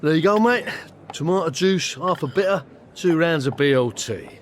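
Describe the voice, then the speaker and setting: An actor playing a brisk pub barman in a radio comedy. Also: fairly high pitch, lively intonation, a little hiss or hum, close to an everyday voice. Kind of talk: radio comedy drama acting.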